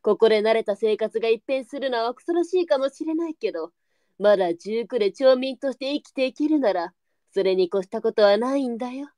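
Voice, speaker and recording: A woman's voice: on the high side, animated, a little fast, mellow, dry recording, clean studio sound, natural voice. A woman playing a worldly, firm but kindly brothel madam, composed and matter-of-fact, in a Japanese period audio drama. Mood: composed, advising.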